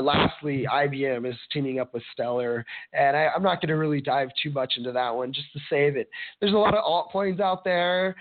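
A man hosting a casual solo podcast, rambling and searching for words, his voice a little raspy from allergies. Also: medium pitch. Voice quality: slightly raspy. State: allergy-affected voice. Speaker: podcast host. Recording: narrow phone-like sound.

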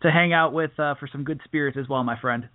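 A man with a fairly high voice hosts a casual sports show. He talks in a chatty, informal way. The sound is narrow and phone-like, close and dry with little room around it. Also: quick pace, no echo. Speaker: podcast host and sports reporter. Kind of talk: casual radio sports interview.

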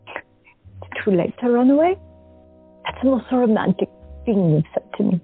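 A woman's voice: a little high, expressive, moderate pace, slightly rough, narrow, tinny audio, faint music behind. Woman plays young visionary patient, audio drama, wry and affectionate, mid voice, phone-thin.

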